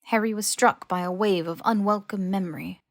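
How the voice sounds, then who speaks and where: A woman's voice: medium pitch, steady tone, fairly quick. A woman reading scripted fiction as an audiobook narrator.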